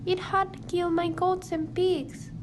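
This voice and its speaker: Worried farmer lady, played by a woman: very high voice, slow delivery, amateur radio play, noisy recording. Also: level tone. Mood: anxious pleading. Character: worried farmer lady frightened for her killed goats. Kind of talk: amateur radio drama acting.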